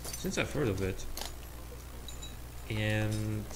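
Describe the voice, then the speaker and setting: A man's voice: lowish voice, drawn-out words. A young man from Austria, a native German speaker with an Austrian accent, rambling casually with many fillers and restarts while playing a game. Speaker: let's play host. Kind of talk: casual rambling commentary over gameplay. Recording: noisy home recording.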